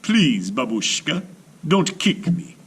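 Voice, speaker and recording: A man's voice: on the high side, sing-song, slow pace, fairly bright tone, a little hiss or hum, voice pushed up, heavily acted. A man voicing a long-suffering little hedgehog in a storybook, polite and plaintive. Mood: pleading.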